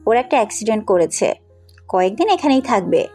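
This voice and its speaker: Audio drama daughter: blunt, caring young woman played by a woman, animated high voice, music underneath. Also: brisk, lightly acted. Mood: defiant. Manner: blunt, insistent. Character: headstrong, compassionate young woman, outspoken businesswoman daughter. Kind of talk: Bengali audio story acting.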